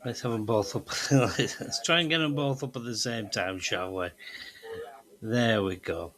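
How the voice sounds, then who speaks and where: A man's voice: lowish voice, a little slow, a bit bright. A man presenting an amateur radio tutorial, explaining methodically step by step over a demonstration.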